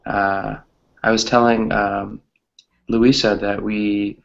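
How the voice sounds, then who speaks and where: A man's voice: fairly low pitch, flat delivery, slow pace, vocal fry. A man chatting casually as an online class teacher, hesitant and often repeating phrases, on slightly muffled audio. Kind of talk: casual chat with students.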